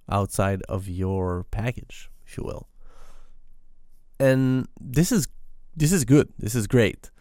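A man from Quebec with a French Canadian accent, a native French speaker, talking alone about programming in a halting way with lots of restarts. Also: on the low side, darkish tone, smooth, no echo, clean studio sound. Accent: Québécois French. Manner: halting, many restarts and fillers. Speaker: podcast host. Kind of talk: solo tech talk.

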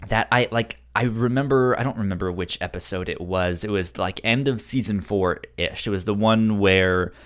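Halting, stuttering man in casual podcast talk. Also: on the low side, smooth. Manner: halting, stuttering repetitions of syllables. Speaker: podcast host. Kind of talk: casual chat about a cartoon series.

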